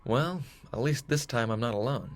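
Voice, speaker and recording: A man's voice: medium pitch, normal speed, close to an everyday voice. A man playing a dashing, cocky space captain with jaunty old-fashioned bravado in a retro sci-fi audio drama. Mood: wry.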